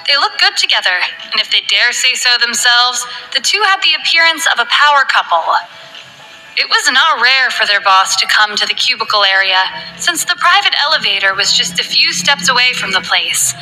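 Bright-voiced woman reading a novel. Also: fairly high pitch, expressive, moderate pace, soft background music, poor audio. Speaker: narrator. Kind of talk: scripted novel narration.

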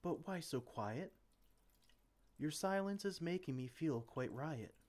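A man's voice: a little high, animated, takes it slowly, a little mellow. A man playing a flustered, well-meaning potion-brewing mage in an ASMR roleplay, theatrical and exclamatory. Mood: pleading, reassuring. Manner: theatrical, exclamatory, speaks to a silent assistant.

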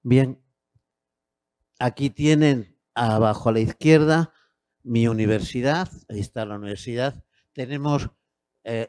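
A man in his sixties from Spain, with a Castilian Spanish accent, giving a measured academic lecture into a handheld mic in a university auditorium, with hesitant fillers. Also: mid-range voice, darkish tone, no room sound. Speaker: guest lecturer.

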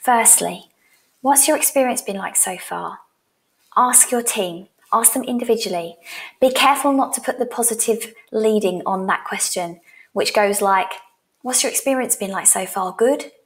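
A woman's voice: mid-range voice, normal speed. A woman in her thirties presenting as a sales coach in an instructional style, direct and encouraging, speaking into a headset mic. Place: small room at home, indoors.

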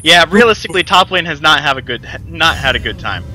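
A young man doing casual live commentary on a college esports match, smooth-voiced, laughing easily and correcting himself as he goes. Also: a little high, lively intonation, quick pace, bright, forceful tone, poor audio. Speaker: esports caster.

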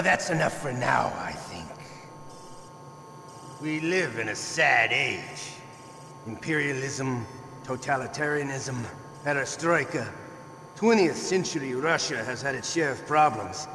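Menacing male game villain, highish sharp voice, theatrical, in a reverberant noisy room. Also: vocal fry, exaggerated voice. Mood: brooding. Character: menacing, zealous Russian nationalist villain, an interrogator. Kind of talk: dramatic video game cutscene acting. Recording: echoey metal chamber with noise and hum.

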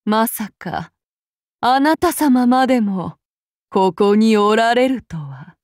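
An actress voicing a calm, menacing samurai woman of demon blood, speaking in formal archaic phrasing to her master. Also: a little high, takes it slowly, no room sound, studio quality. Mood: cold, brooding. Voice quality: formal, archaic courtly speech. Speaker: game character voice actress. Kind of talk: game character voice lines.

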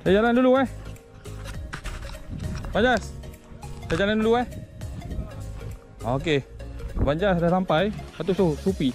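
A man's voice: high voice, unhurried, long pauses, a little mellow, faint music behind. A man in his thirties with a Malaysian accent, chatting casually and colloquially to viewers as an outdoor fishing vlogger. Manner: colloquial, addresses viewers directly. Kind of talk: casual outdoor vlog chat. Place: outdoors at a shore and on a kayak at sea.

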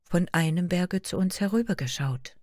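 A woman's voice: fairly low pitch, lively intonation, normal speed, darkish tone, dry recording, clean studio sound, pitched above the performer's own voice, close to an everyday voice. A woman voicing a regal, motherly queen of fantasy, stately and soothing.

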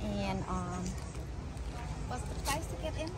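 A woman's voice: mid-range voice, expressive, slow pace, very noisy. A woman in her thirties chatting casually as she films a travel vlog outdoors on a phone. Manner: chatty, easygoing, laughs often. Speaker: travel vlogger. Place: outdoors at a tropical resort and town. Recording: loud music behind the voice.

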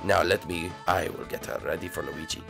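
A performer voicing a boastful male French turtle creature who fancies himself a ladies' man, with a low, slow, self-satisfied drawl. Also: steady tone, creaky, music in the background. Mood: sly. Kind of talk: comedic puppet-style skit acting.

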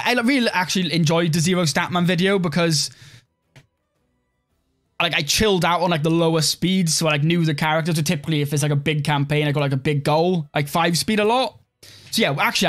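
Young guy streaming a strategy game, slightly high voice, chill and rambly, says like a lot. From Wales. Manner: relaxed, rambling, heavy use of "like". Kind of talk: live-stream gameplay commentary, reading chat.

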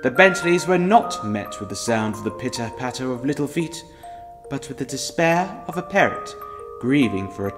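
Guy doing theatrical wry ghost story narrator, voice goes up and down loads, music behind. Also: mid-range voice. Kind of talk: scripted audio drama narration.

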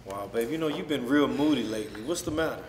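A man's voice: medium pitch, lively intonation, fast talker, noisy background, natural voice. Man acting devoted fiancé, testy and coaxing, stage rehearsal, roomy echo. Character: devoted, impatient fiancé, smooth-talking young man. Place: rehearsal room with stage, indoors. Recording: echoey room.

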